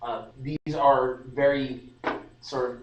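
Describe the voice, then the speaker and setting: A man's voice: medium pitch, flat delivery, takes it slowly, dull audio. A young man in casual podcast discussion, rambling with restarts and fillers. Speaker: podcast host. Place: room at a student society meeting. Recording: roomy live stream audio, distant mic.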